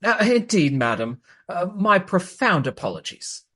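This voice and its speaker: A man's voice: high-pitched, very animated, unhurried, pushed and bright, full character voice. A man playing an astonished, courteous and fussy Regency aristocrat in a radio play, exclaiming and getting flustered.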